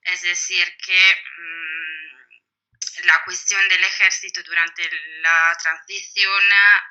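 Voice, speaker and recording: Bright-toned Italian woman, hesitant academic. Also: fairly low pitch, flat delivery, takes it slowly, muffled recording. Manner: thoughtful, many hesitations and fillers. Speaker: author interviewed as guest. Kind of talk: academic interview answers.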